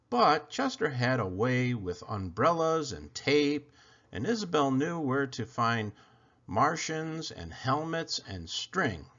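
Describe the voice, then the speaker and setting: A man reads a picture book aloud as a storyteller. His voice swings widely in pitch and his delivery is deliberate and expressive. The recording is dull and lo-fi. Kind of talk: children's picture book narration.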